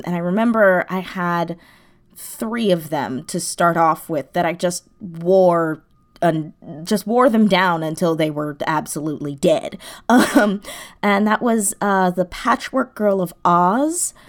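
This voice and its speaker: A woman in her twenties with a slightly low voice, speaking as a guest in a casual interview. She is enthusiastic and informal, talking in a loose, conversational way. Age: 20s. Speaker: interview guest, audio drama creator.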